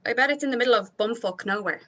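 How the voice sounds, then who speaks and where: Woman playing a high, brash bandmate. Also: fast talker, a bit bright, lo-fi sound. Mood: incredulous. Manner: brash, slangy. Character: brash, bossy, excitable female bandmate Angela. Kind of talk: table read of a pilot script.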